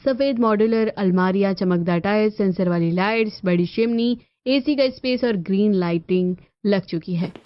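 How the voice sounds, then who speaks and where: A woman giving scripted narration for an animated family story. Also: mid-range voice, moderate pace, hardly any pauses, dry recording, lo-fi sound.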